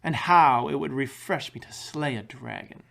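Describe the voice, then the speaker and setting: A woman's voice: extremely low pitch, normal speed, creaky, a bit bright, voice pushed down, exaggerated voice. A woman voicing a pompous, adventure-hungry male knight in a storybook, with archaic, grandiose speech. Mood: exultant.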